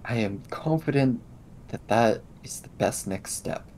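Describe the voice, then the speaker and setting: A man playing a tabletop role-playing game, thinking things through aloud in casual, halting phrases. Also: medium pitch, expressive, a little mellow, a little hiss or hum. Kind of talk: casual in-character game discussion.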